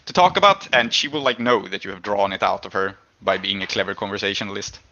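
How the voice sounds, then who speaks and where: Male game master, bright crisp delivery, improvised narration with thoughtful hesitations, slightly dull lo-fi recording. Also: fairly low pitch, quick pace.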